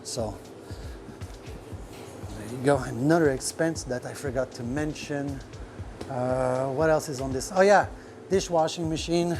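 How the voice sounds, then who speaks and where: Man talking shop, halting. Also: mid-range voice, over music. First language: French. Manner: rambling, many fillers, searches for words. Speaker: restaurant owner. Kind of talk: casual podcast talk. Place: restaurant kitchen.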